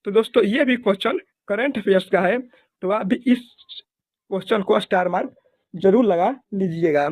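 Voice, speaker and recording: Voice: mid-range voice, moderate pace, a little mellow, dry recording. An exam prep teacher, methodically reading out quiz questions, options and answers in an instructional way. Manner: methodical, instructional, repetitive set phrasing.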